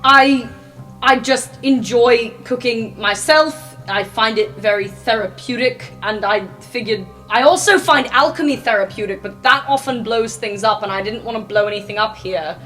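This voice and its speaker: A woman's voice: on the high side, moderate pace, fairly bright tone. A woman playing in a tabletop roleplaying game, improvising casual in-character dialogue. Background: music.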